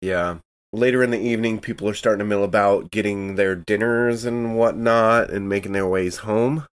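Male tabletop gamer, deep pitch, casual and improvised, talks through his actions informally. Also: fairly even intonation, moderate pace, clear, resonant tone, no room sound. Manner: informal, thinking aloud. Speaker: player in a tabletop game. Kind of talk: casual improvised game talk.

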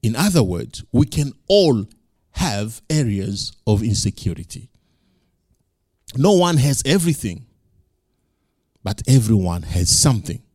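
A male pastor preaching in English, which is not his first language, building to loud emphatic peaks and repeating lines for effect. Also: medium pitch, sing-song, measured pace, pauses often, dry recording, clean studio sound. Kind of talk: sermon.

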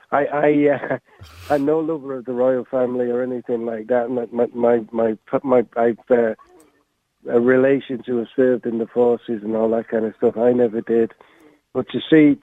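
An English man in his sixties phones in to a radio show, stumbling and repeating words as he talks. His voice sits at an ordinary middle pitch. The call comes through a dry, tinny phone line. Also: little pitch movement, moderate pace, no echo. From England. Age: 60s. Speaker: caller.

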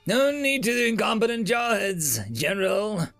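A man's voice: high voice, expressive, unhurried, creaky, a bit bright, soft background music, voice pushed up, heavily acted. A man voicing a smug, acid-tongued diplomatic attaché who sneers and threatens in an audiobook. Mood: acidly contemptuous. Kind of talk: audiobook character dialogue.

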